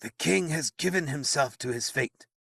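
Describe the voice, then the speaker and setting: A man's voice: fairly high pitch, moderate pace, creaky, pushed and bright, slightly rough, dry recording, studio quality, pitched above the performer's own voice, heavily acted. A man voicing an agitated old male wizard, grave and fearful by turns.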